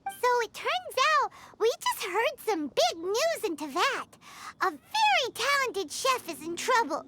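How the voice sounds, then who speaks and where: A woman voicing a tiny, bubbly, bossy childlike fairy girl in an anime game, squeaky and excitable. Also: a little slow, noisy background, heavily acted. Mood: eager storytelling. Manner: excitable, exclamatory storytelling. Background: music.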